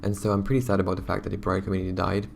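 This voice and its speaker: Young man with a hoarse, gravelly voice. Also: low-pitched, completely flat delivery, rapid-fire, slight background noise. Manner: chatty, rambling, self-conscious. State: hoarse, losing his voice. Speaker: YouTuber. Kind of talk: casual talk to camera.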